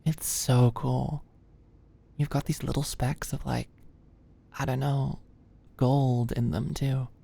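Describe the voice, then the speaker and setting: A woman playing a sweet, anxious young medical student in an ASMR roleplay, speaking gently and a little awkwardly close to the mic. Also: rumbling low, flat delivery, vocal fry, slightly rough, full character voice. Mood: shy, smitten. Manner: gentle, halting, clinical instructions slipping into shy fluster. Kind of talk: ASMR roleplay, soft close conversational delivery. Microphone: close microphone, dry sound.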